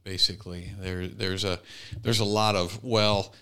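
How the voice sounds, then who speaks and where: A man's voice: low-pitched, steady tone, a little slow, pushed and bright, clear, resonant tone. A man co-hosting a podcast, talking casually and bluntly, often voicing hypothetical quotes to make his point. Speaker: podcast co-host. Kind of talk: casual opinionated discussion.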